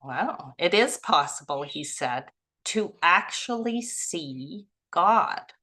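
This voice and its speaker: A woman from Canada leading a spiritual study group, reading passages aloud and musing on them gently. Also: fairly low pitch, animated, takes it slowly. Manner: gentle, musing, softly laughing at herself.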